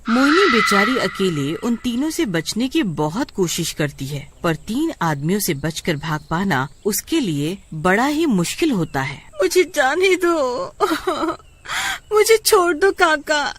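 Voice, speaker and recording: A woman's voice: on the low side, husky. A woman narrating a scripted story dramatically.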